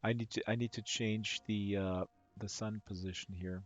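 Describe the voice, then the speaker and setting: A man with a hoarse voice giving casual, excitable live-stream commentary, rambling and gushing with amazement. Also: fairly low pitch, normal speed. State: hoarse from talking all day. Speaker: live streamer, virtual world tour guide. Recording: dull lo-fi stream audio with music underneath. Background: music playing.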